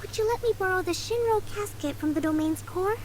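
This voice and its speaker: An actress voicing a composed young female general who explains things calmly. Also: extremely high pitch, fairly quick, very noisy. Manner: calm and explanatory. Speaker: general and strategist.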